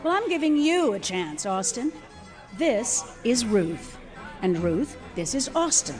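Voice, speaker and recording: An actress playing a bossy, cheerful middle-aged hostess and matchmaker in a stage comedy, brisk and sociable. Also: female voice, a little high, very animated, faint music behind. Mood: cheerful, prodding. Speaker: actor in an audio play.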